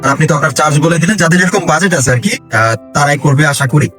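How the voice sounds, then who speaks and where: A man's voice: a little high, fast talker, fairly bright tone, soft background music. A man hosting a casual interview, putting questions conversationally to his guest. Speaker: interviewer, host.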